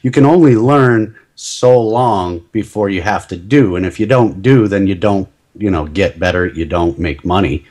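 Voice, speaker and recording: A middle-aged man with a slightly low voice, chatting as a podcast guest. He is talkative and self-deprecating and laughs at his own jokes. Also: moderate pace, hardly any pauses, darkish tone. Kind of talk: casual interview chat.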